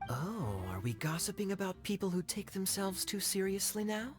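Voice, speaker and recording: Suave male knight, playful teasing, very lively melody, game dub, music underneath. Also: sits high, moderate pace. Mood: playful, sly. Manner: smooth-talking, playful, teasing. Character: suave, teasing young male knight, slyly smooth-talking. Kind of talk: video game voice acting.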